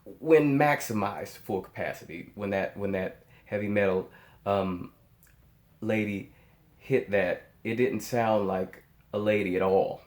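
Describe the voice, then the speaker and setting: An American man giving casual, off-the-cuff reaction commentary as a channel host, emphatic and repeating himself, often halting as he searches for words. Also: lowish voice, darkish tone. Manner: emphatic, repeats phrases in amazement. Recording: echoey, roomy sound.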